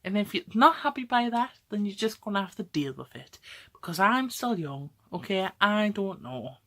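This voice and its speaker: A woman's voice: medium pitch, sing-song, moderate pace, bright, forceful tone. A woman, talking casually to camera in a rambling, chatty way, often tagging on "okay?" and laughing. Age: 20s. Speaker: vlogger.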